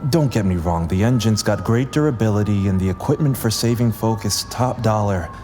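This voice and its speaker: An actor playing a weary, sarcastic but dedicated paramedic driver in an audio drama, gruff and exasperated. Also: male voice, on the low side, fairly quick, dark tone, soft background music, lightly acted. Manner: sardonic, exasperated, swearing. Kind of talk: audio drama acting with internal narration. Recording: clear vehicle ambience behind the voice. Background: ambulance and traffic sound effects.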